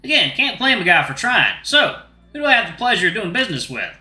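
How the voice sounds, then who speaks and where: A man's voice: high-pitched, very animated, fast, pushed and bright, voice pushed up, heavily acted. A man voicing a cheeky, sly animal market trader in a visual novel, with a folksy Southern US accent. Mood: sly, friendly. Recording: roomy echo with music behind.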